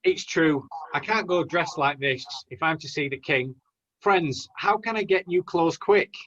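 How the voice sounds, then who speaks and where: Man as lovestruck young hero, fairly high earnest voice, declaimed pantomime rhymes, slightly dull recording. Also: measured pace, fairly bright tone. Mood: eager. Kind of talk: rhyming amateur pantomime acting.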